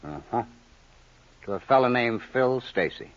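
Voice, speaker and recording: An actor playing a sly, needling hustler with an unhurried, falsely friendly manner in a 1950s radio drama. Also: male voice, mid-range voice, expressive, lightly acted. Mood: insinuating. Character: sly, smooth-talking, needling male hustler, an old buddy. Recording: muffled old radio recording.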